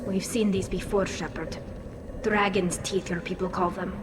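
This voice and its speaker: A woman's voice: fairly low pitch, level tone, moderate pace, music in the background, lightly acted. A woman playing a cautious, earnest alien crewmate in a video game. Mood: wary. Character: cautious, wary young alien female crewmate. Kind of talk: video game cutscene dialogue. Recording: loud noise, vehicle-like ambience.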